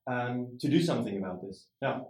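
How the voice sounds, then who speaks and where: A man's voice: medium pitch, lively intonation, a little fast, a little mellow. A man, a startup founder, talking casually in an interview, rambling and often checking in with "right?". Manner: rambling, frequent "right?" tag questions. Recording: roomy echo.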